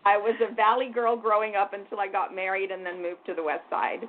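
An older woman from Hungary, president of a museum board, introducing herself as a guest on a radio talk show in a composed, measured way. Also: mid-range voice, fairly even intonation. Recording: tinny phone-like line with faint noise.

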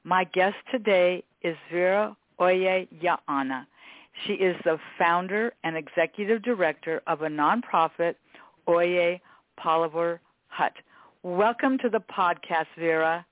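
A woman's voice: on the low side, a little slow, no room sound. A woman hosting a podcast in a conversational, effusive way, repeating words for emphasis. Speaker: podcast host. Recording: narrow phone-like band.

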